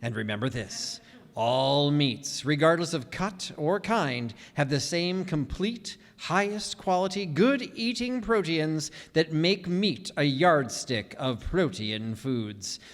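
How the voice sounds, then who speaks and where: An actor playing an earnest, polished 1940s radio announcer, declaiming persuasively to the listeners. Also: male voice, fairly high pitch, expressive, slow. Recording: reverberant hall stage recording.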